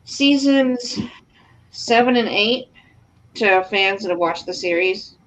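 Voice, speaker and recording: A woman's voice: mid-range voice, takes it slowly, fairly bright tone. Blunt woman, dull lo-fi recording. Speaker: book discussion participant. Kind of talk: casual group chat about books and shows. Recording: dull, lo-fi sound.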